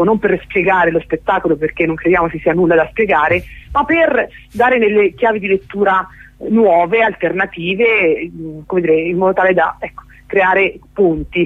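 A woman's voice: lowish voice, no room sound. A woman speaking as a festival organiser in a radio interview, explaining at length and often searching for words. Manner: hesitant, searching for words, long-winded. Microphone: telephone. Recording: narrowband telephone line on radio.

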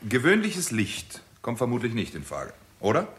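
An actor playing a practical, businesslike radio engineer in a 1960s German radio drama. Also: male voice, mid-range voice, wildly swooping pitch, moderate pace, fairly bright tone.

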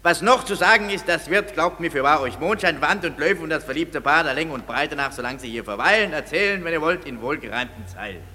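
An actor playing an earnest amateur prologue speaker, declaiming rhymed radio play verse in a pompous, halting, emphatic way. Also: male voice, fairly high pitch, animated, noisy background, close to an everyday voice. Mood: pompous presenting. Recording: reverberant hall.

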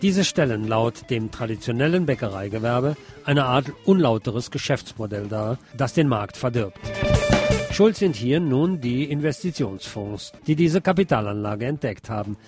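A man's voice: mid-range voice, expressive, moderate pace, a little mellow, soft background music. A man presenting on radio, reading scripted local news and commentary.

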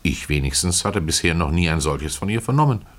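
Low voiced guy reading a classic story, really lively melody, measured and expressive. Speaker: narrator. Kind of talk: literary story reading, first-person scripted narration.

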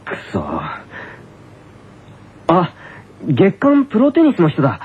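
An actor playing a resentful teenage younger brother in a comic radio drama, husky and grumbling. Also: male voice, high-pitched, wildly swooping pitch, slow pace, heavily acted. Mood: brooding. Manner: indignant, grumbling, curtly polite to seniors. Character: touchy, resentful teenage younger brother, male tennis player. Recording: tinny band-limited radio recording.

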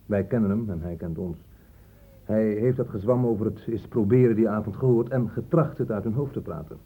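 An actor playing a gruff, hard-line police inspector in a radio drama, blunt and sarcastic, with emphatic delivery. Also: male voice, on the low side, a little fast, narrow, tinny audio. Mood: matter-of-fact.

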